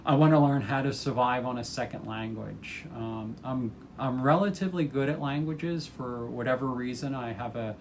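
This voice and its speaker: A retired man in his sixties, a former chemist, talking casually to the camera about his plans, thoughtful and unhurried, with many ums and restarts. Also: mid-range voice, a little hiss or hum. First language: English.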